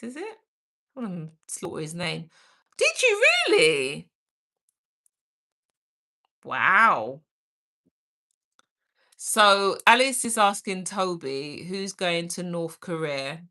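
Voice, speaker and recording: A woman's voice: on the low side, bright, forceful tone. A middle-aged woman with a British accent, hosting a livestream and chattily reading and answering viewer comments aloud. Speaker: livestream host. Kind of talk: reading and answering live chat.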